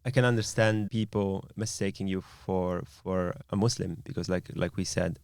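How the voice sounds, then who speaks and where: A man's voice: low voice, steady tone, normal speed, vocal fry, dry recording, clean studio sound. A man hosting a podcast, talking thoughtfully in a rambling, casual way with many fillers. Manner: thoughtful, rambling, lots of "you know". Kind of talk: casual chat.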